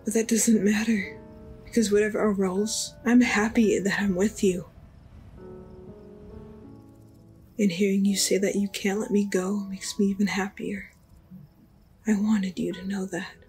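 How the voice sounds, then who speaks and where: A woman voicing a gentle young apprentice mage in an anime fandub, soft, reflective and a little hesitant. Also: medium pitch, level tone, normal speed, lightly acted. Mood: earnest, warm. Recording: music under the voice.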